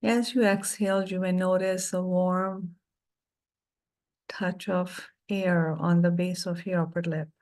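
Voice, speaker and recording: A middle-aged woman leading a guided meditation, speaking softly and soothingly with long pauses between phrases. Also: fairly low pitch, fairly even intonation.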